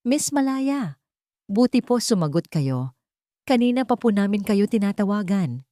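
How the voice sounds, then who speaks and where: A woman's voice: mid-range voice, expressive, measured pace, mellow, no room sound, studio quality, pitched above the performer's own voice, lightly acted. Trembling, frightened schoolteacher acted by a woman. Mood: fearful, tearful. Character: frightened, tearful schoolteacher reporting a missing child.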